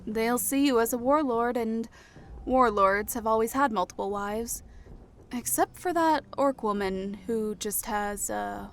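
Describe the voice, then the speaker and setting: A man narrating an audiobook voices Olivara, a wry young woman leader, in a high soft tone. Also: unhurried, slight background noise, heavily acted. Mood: musing.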